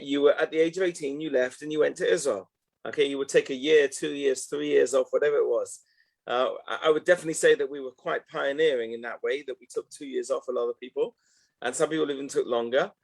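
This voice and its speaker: A man's voice: medium pitch, vocal fry. A man, answering interview questions in a reflective, loosely phrased way with frequent "okay" asides. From North London, England. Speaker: guest, educational tour organizer.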